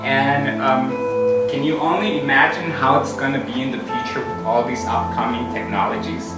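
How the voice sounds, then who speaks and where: A man's voice: mid-range voice, fairly even intonation, moderate pace. A middle-aged man from Costa Rica giving an earnest conference talk, with frequent fillers. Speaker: conference speaker. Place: large conference hall. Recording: echoey hall from far off, music.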